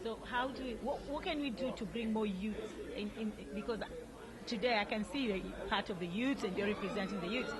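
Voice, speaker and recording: A woman's voice: medium pitch, fairly quick, rough recording. A middle-aged woman interviewing a guest at a community event, chatty and encouraging, often repeating words for emphasis. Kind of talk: casual street interview. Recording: outdoor recording with crowd noise. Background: crowd chatter.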